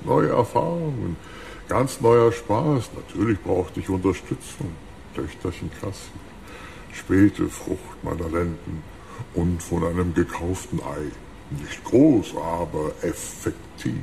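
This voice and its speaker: A man's voice: deep voice, slow, creaky, warm tone, slight background noise, exaggerated voice. An actor playing an ancient, gleefully cruel billionaire villain in a radio crime drama, smugly savoring his words. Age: very old.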